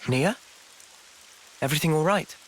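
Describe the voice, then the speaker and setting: A man voicing an earnest, thoughtful young man in a video game, speaking softly and reflectively. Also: on the high side, expressive, moderate pace, slight background noise, lightly acted, low-quality recording. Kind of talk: video game cutscene dialogue. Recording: game cutscene with music behind. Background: game music.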